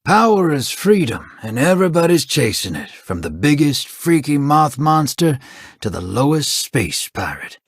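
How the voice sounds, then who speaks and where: An actor playing an easygoing, streetwise space pirate in a video game, casual and wry. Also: male voice, fairly high pitch, big pitch swings, unhurried, slightly rough, exaggerated voice.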